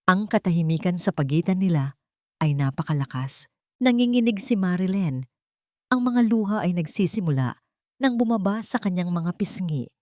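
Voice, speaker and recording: A woman's voice: fairly low pitch, animated, moderate pace, warm tone, dry recording, clean studio sound. A woman narrating a dramatic scripted story.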